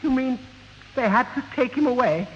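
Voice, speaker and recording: An actor playing a prim, fussy, excitable gentleman in a radio sitcom, speaking in a formal, agitated way. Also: very high-pitched, normal speed. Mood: alarmed. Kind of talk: 1970 radio sitcom acting. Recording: muffled, noisy old radio broadcast.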